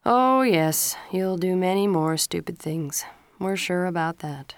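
A woman's voice: lowish voice, measured pace, clean tone, no echo. Woman narrator voicing a stern father, firm delivery, dry studio. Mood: wry. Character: stern middle-aged father scolding his son. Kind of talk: storybook character reading.

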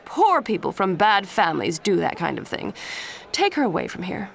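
A woman voicing a harsh, snobbish middle-aged aunt who shouts. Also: mid-range voice, huge pitch swings, normal speed, vocal fry, some chatter behind. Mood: indignant. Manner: loud shouting.

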